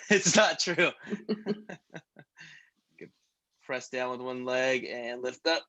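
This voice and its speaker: A man in his thirties leading a stretch class, joking between calm breathing cues. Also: mid-range voice, slow pace. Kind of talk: guided stretching class with casual chat.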